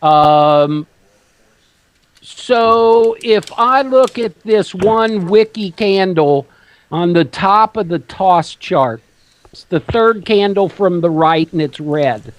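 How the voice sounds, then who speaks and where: A man hosting a trading talk show, talking slowly and haltingly as he works out price numbers. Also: sits high. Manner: slow, halting, counting out numbers. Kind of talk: casual talk radio.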